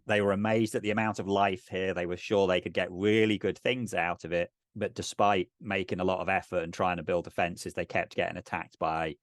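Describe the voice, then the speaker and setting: A middle-aged man casually narrating a tabletop game, thinking aloud in a halting way. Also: sits low, little pitch movement, no room sound, studio quality. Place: indoors on a video call.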